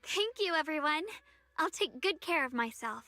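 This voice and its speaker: A woman's voice: sits high, animated, moderate pace, pushed and bright, exaggerated voice. A woman voicing an earnest, resolute young swordswoman heroine in a video game. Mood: gentle, reassuring. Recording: roomy echo, distant, music behind.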